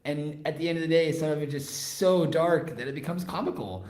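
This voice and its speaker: A man's voice: on the high side, fairly quick, a little mellow. A man hosting a podcast, talking in a casual, reflective, conversational way. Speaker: podcast host. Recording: echoey, roomy sound.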